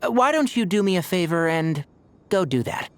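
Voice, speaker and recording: Wry, lively young game character. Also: male voice, high voice, moderate pace, clean tone. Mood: casual, resigned. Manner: wry, casual. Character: young man, dry, long-suffering friend who scolds casually. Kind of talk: video game character voice acting.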